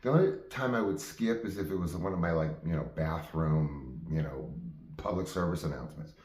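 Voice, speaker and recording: A man's voice: low-pitched, a little fast, a little mellow, reverberant. A man hosting his own show, telling stories off the cuff in a casual, rambling way that often breaks off and restarts. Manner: rambling, self-interrupting. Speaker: host of own game show. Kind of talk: casual improvised storytelling to viewers.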